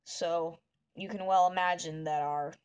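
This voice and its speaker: A woman streaming live, chatting with viewers in a soft, meandering way while she draws, with a voice that is deep for a woman. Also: fairly even intonation, unhurried, smooth, muffled recording. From northern United States. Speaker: livestreamer. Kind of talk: casual chat while drawing on a livestream.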